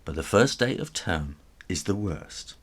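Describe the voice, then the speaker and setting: A man reading a children's story aloud in an expressive, animated style with conversational asides. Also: low voice, a little slow, slightly rough. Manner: expressive, with chuckles and spoken asides.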